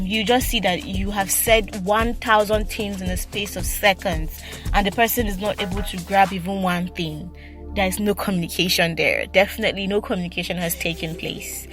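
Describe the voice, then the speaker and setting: A woman hosting her own advice podcast, with a voice of middling pitch and a slight stutter. She talks fast, often repeating words and starting sentences over. Clear music plays behind her throughout. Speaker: podcast host. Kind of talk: solo advice podcast talk.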